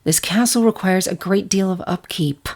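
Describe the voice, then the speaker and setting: A woman's voice: mid-range voice, normal speed, a little mellow, natural voice. A woman voicing a proper, cordial head-maid pony, an elder sister with polite, practiced authority, in a story reading. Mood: rehearsed, resigned. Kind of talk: fan fiction character reading.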